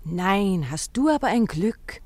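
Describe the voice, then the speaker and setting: A gushing lady passenger as a male narrator puts her on, cooing and exclaiming in an old radio play. The pitch is raised high and the sound is dry. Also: expressive, takes it slowly, darkish tone, no room sound. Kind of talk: 1950s German radio play, narrator quoting.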